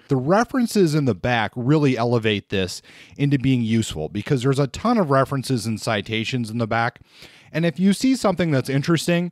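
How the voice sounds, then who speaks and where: A male podcast host talks through a stack of crime novels in a loose, unhurried way, full of small fillers and restarts. His voice sits in a middle register. The sound is dry and close. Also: mid-range voice, no echo. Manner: relaxed, hesitant with many fillers. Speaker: podcast host reviewing books. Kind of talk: casual book review talk.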